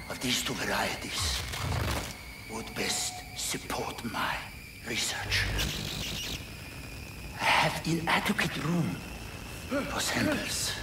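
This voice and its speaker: An actor playing a gleefully sadistic old German scientist general in a video game, theatrical and courteously menacing. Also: male voice, medium pitch, recorded from a distance, very noisy, heavily acted. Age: older. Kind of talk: video game villain monologue. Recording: echoey chamber, loud music behind.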